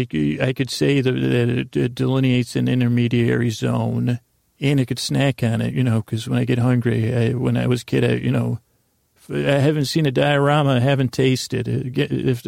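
Creaky man rambling softly through a bedtime monologue. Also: mid-range voice, flat delivery, dry recording, clean studio sound. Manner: meandering, halting, stammering, self-interrupting; gently humorous. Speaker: podcast host.

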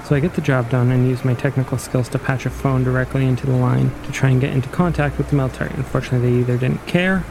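A man playing a dry, matter-of-fact post-apocalyptic wayfinder in an audio drama, speaking in a measured, precise way. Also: medium pitch, steady tone, creaky, noisy background, close to an everyday voice. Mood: dry recounting. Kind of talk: audio drama interview storytelling.

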